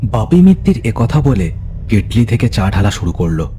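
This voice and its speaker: Deep-voiced man narrating a ghost story. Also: very animated, normal speed, dark tone, slight background noise. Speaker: narrator of an audio horror story. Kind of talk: dramatic scripted Bengali ghost story narration.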